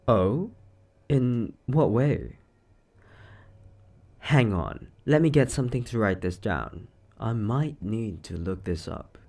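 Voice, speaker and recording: A man playing an eccentric, secretly sinister occult shop owner in a roleplay monologue, chatty and offhand with theatrical, drawn-out words. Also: medium pitch, darkish tone, full character voice. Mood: casual, evasive. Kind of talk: scripted roleplay monologue to the listener.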